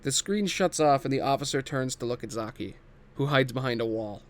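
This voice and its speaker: A man's voice: medium pitch, animated, brisk. Man narrating a script read-through. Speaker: narrator reading stage directions.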